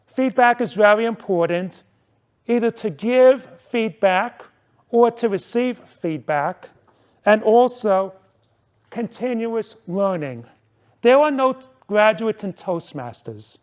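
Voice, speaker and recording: High-pitched man giving an emphatic speech. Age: 40s. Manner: emphatic, deliberate, with dramatic repetition and rhetorical questions. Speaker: club member giving a speech. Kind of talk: prepared motivational speech to a club audience.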